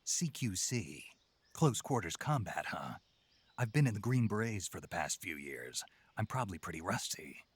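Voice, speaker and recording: An actor playing a gruff, terse special-forces soldier in a video game, with a gravelly, husky voice. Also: male voice, deep voice, big pitch swings, moderate pace, no echo, exaggerated voice. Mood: wary, businesslike. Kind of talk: video game cutscene acting.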